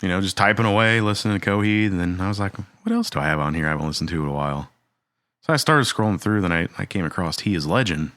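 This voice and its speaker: A man's voice: low voice, lively intonation, fast, a bit bright, dry recording. A man co-hosting a podcast, chatting casually and jokingly, with rambling stories. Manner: laid-back, rambling, joking. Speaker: podcast co-host. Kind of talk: casual chat.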